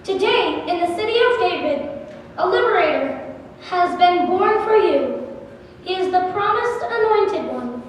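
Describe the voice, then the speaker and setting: A woman's voice: very high voice, expressive, a little slow. A woman playing an angel messenger bringing reassuring good news in a scripted church dramatization. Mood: reassuring, proclaiming. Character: angel messenger announcing joyful news to frightened shepherds. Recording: echoey church hall, distant, faint noise.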